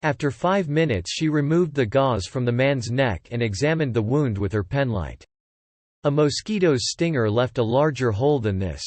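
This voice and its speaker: A man narrating fiction from a script as an audiobook narrator, in a measured, descriptive way. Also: mid-range voice, a little mellow, dry recording.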